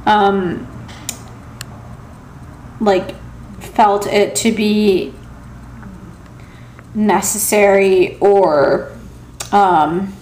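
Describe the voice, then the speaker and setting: Young american woman vlogging, talks slow n hesitant, kinda rambly, roomy echoey sound. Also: mid-range voice, fairly even intonation, darkish tone, slight background noise. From South Carolina, United States, originally Pakistan. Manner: hesitant, rambling, halting with long pauses. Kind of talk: casual personal vlog monologue. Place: small indoor room at home with shelves. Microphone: camera or phone at arm's length. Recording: echoey small room.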